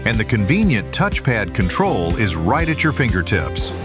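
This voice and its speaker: A man's voice: fairly low pitch, big pitch swings, moderate pace. A man reading a scripted advert as an announcer. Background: music.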